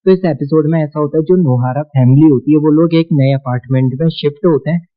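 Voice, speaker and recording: An Indian-accented man with a slightly high voice hosts a countdown video. He explains things casually and repetitively, and the sound is narrow and phone-like. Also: fairly quick. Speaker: video host. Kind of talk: casual scripted YouTube countdown narration. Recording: muffled, narrow-band sound.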